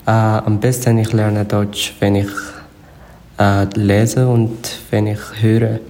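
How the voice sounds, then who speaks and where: A man from Eritrea, answering an interview haltingly and searching for words. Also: on the low side, little pitch movement, darkish tone, slight background noise. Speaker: interviewee, language learner.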